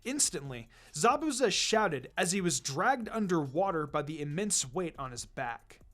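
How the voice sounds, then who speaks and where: A man narrates an action story with strongly varied, animated intonation. His voice sits a little high for a man. The reading is dramatic and scripted, with music underneath. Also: measured pace. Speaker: narrator, fan fiction reader.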